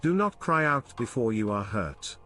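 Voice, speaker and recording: A man voicing a calm, soothing male companion in an audiobook, speaking quietly and with measured placidity. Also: mid-range voice, faint music behind. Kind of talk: dramatised audiobook reading.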